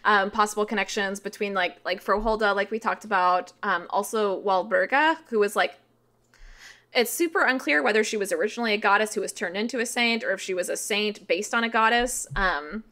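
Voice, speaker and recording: A woman's voice: mid-range voice, fairly quick, sharp tone. A woman of thirty talking as a podcast guest in a casual, informal interview style, explaining things with frequent filler "like".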